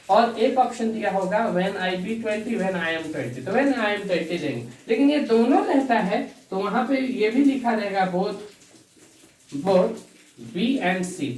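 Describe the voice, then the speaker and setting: Echoey, distant male grammar teacher. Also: high voice. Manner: slow and deliberate, dictating sentences as he writes, repeating key phrases; addresses the class as dear students. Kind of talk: bilingual grammar lesson. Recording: echoey room, distant mic, faint hiss.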